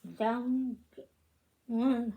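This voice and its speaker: Hoarse woman rambling slowly to her viewers, kinda high voice. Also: fairly even intonation, narrow, tinny audio. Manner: chatty, rambling greetings. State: hoarse from illness. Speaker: embroidery vlog co-host. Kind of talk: casual chat with viewers.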